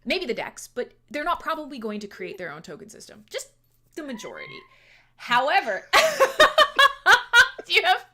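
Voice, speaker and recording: Canadian woman hosting a stream, bright lively voice, playful, laughs a lot. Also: high voice, measured pace, a little hiss or hum. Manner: chatty, playful, laughs often. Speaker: livestream host. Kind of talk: casual livestream chat.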